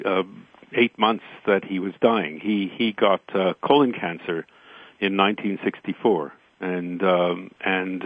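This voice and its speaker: An older man recalling personal experience as an interview guest, speaking haltingly and often repeating words as he goes. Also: on the low side, no echo. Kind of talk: reflective personal recollection. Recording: dull, band-limited connection.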